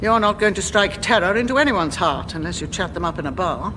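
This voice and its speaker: An actress playing a bossy, sharp-tongued woman who runs a tiny airline in a radio sitcom, speaking with imperious sarcasm. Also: fairly low pitch, expressive, fairly quick, big-room sound, very noisy, close to an everyday voice. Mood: exasperated, commanding.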